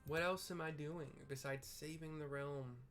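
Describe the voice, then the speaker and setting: A man hosting a gaming video, describing scenes in a casual, offhand and halting colloquial way. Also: on the high side, fairly even intonation, a bit bright, faint music behind.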